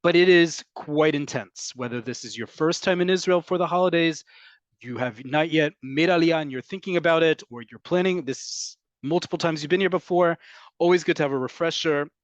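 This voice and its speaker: A man's voice: a little high, brisk, no room sound, dull audio. A man from North America teaching an online class over a video call, explaining at length in a conversational way with frequent ums. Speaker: Hebrew teacher.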